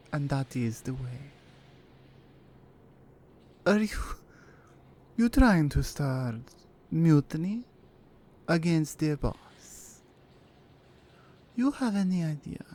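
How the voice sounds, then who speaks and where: A menacing Russian crime-family woman, played by a female performer speaks in a mellow, gravelly voice with a Russian accent. She is unhurried, controlled and seductive, as in a close ASMR roleplay. Faint background noise sits behind her. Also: deep voice, full character voice. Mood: menacing warning. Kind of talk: slow, intimate ASMR roleplay acting.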